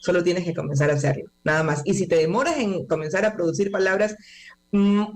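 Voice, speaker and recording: A woman answers interview questions at length, measured and explanatory, often pausing on drawn-out "eh" fillers. She talks like an experienced teacher laying out her view patiently. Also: fairly low pitch. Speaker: language academy manager, guest.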